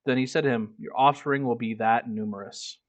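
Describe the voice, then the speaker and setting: A man's voice: mid-range voice, fairly even intonation, fairly quick. A man reading a book aloud as a streamer, breaking off into casual, irreverent, swearing commentary. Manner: irreverent, profane asides.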